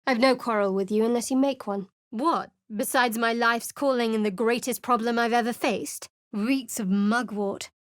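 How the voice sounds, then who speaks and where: An actress gives voice to a secretive young cleric, a woman with a cool, dry wit who keeps everyone at arm's length. Her tone sits fairly high, and she delivers her lines close to the mic in a dead, dry studio. It is polished video game dialogue. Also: fairly even intonation, moderate pace, hardly any pauses, no echo, close to an everyday voice. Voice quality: cool, wry and guarded. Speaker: game character. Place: studio booth.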